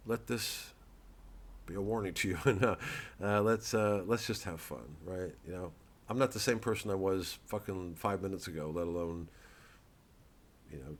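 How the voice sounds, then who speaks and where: A man, a comedian, talking casually to camera in a rambling way, with stammering restarts and free swearing. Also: low-pitched.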